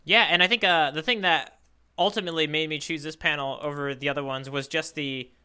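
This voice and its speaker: A man's voice: a little high, steady tone, a little fast, sharp tone, clear, resonant tone. A man co-hosting a podcast in casual, playful chat about comics. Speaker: podcast co-host.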